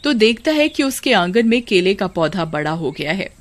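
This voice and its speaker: A woman narrates a scripted story at a medium pitch. Her intonation is very animated, rising and falling as a storyteller's does. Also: normal speed.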